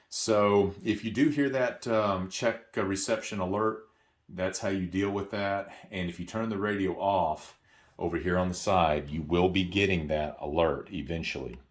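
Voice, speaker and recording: A man's voice: low voice, a little slow. A man giving a casual, hands-on product tutorial, explaining things informally and repeating key points for emphasis.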